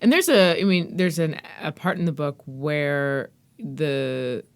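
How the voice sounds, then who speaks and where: A woman's voice: low voice, slow, clear, resonant tone. Woman host asking interview questions. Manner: engaged, prompts with short backchannels and questions. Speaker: podcast host. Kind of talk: interviewing, conversational.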